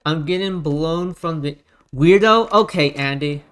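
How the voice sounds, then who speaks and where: A man from the United States giving casual gameplay commentary, rallying teammates with quick repeated calls. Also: fairly high pitch, a bit bright, slight background noise. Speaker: gamer streamer.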